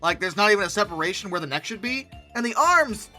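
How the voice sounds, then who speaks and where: Host for comic commentary: lively swooping intonation, bright forceful tone, sarcastic and exasperated, light music underneath. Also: medium pitch, quick pace. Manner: sarcastic, exasperated, mock-incredulous. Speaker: video host. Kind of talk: comic commentary on dinosaur art.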